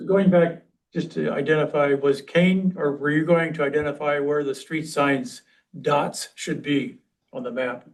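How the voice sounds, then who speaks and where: A man's voice: on the high side, fairly even intonation, unhurried, poor audio. A man speaking informally as he leads a committee meeting in a council room. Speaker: committee member leading the meeting.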